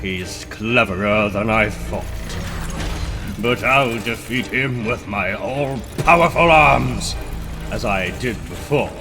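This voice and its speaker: A man plays a gloating comic book mad scientist, menacing and theatrically boastful. He takes his words slowly and savours each threat, with prominent music behind him. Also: lowish voice. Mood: boastful scheming. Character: gloating male supervillain mad scientist with mechanical arms. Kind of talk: comic book dramatic reading. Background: loud music.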